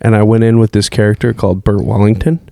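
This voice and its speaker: A young man hosting a podcast, asking questions in a low, calm voice and joking around with casual swearing. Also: quick pace, creaky, dry recording, studio quality. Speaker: podcast host. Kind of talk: casual interview chat.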